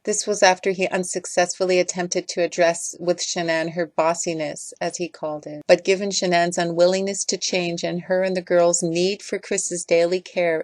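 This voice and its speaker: A woman's voice: lowish voice, darkish tone, no room sound. A woman reading scripted commentary as a voice-over. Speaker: video creator doing voice-over commentary.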